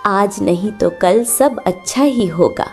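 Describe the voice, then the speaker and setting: A gentle, self-sacrificing old village mother acted by a woman in a cartoon story. She speaks very slowly and soothingly in a mellow voice. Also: mid-range voice, faint music behind. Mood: reassuring. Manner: slow, soothing blessing. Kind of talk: Hindi cartoon moral story voice acting.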